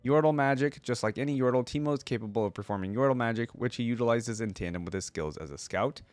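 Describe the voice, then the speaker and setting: A man hosting a reaction video, chatting casually, reading aloud and thinking out loud with asides to viewers. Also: mid-range voice, fast, dry recording.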